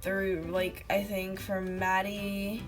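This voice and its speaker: A teenage girl vlogging casually to a webcam in her bedroom, telling stories with lots of fillers and giggles, her voice slightly hoarse from having lost it. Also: medium pitch, flat delivery, takes it slowly, faint music behind. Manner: chatty, giggly storytelling with many fillers. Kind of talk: casual chat to camera. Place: small bedroom indoors.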